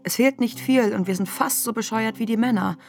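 An actress playing an embattled, defiant feminist internet activist, delivering a sardonic, polemical manifesto in a radio drama with a husky voice. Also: female voice, on the high side, fairly quick, faint music behind. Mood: sardonic, accusing.

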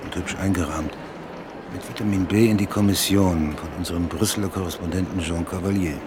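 A man's voice: deep voice, steady tone, a little fast, noisy background, lightly acted. An actor playing a man muttering as he reads a newspaper aloud. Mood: reading.

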